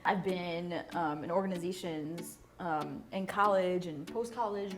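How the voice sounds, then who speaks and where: A woman who grew up in Mexico, hosting a casual chat in a restaurant. She talks in a lively way, laughs easily and uses many fillers. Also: lowish voice, a little slow. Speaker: host.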